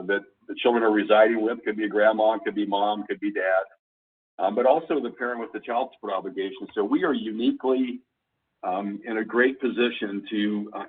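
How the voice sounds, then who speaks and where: A low-voiced man presents slides to colleagues on a conference call. His voice is husky and he explains in a halting, deliberate manner. The sound is thin and phone-like, as if he is some way from the receiver. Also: distant mic. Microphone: conference call phone line.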